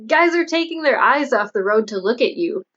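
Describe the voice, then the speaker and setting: A woman's voice: a little high, expressive, a little fast, a bit bright, slightly rough. A woman voicing a matronly older policewoman in an audiobook, giving motherly, admonishing advice. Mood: motherly warning. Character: matronly, cautioning older policewoman, veteran investigator. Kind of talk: audiobook character dialogue. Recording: dull lo-fi audio.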